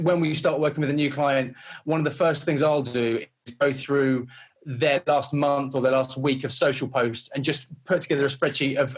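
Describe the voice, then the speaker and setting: A man's voice: medium pitch, husky. A man chatting casually as an interview guest, often repeating words as he rephrases. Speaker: interview guest, runs a creative agency.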